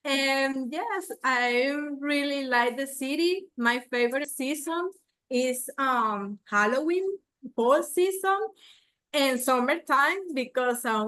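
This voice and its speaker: A woman with a Venezuelan accent and Spanish as her first language talks slowly in a very high voice. She is a casual interview guest who pauses to search for words. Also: a bit bright. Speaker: guest, bakery owner. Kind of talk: casual self-introduction.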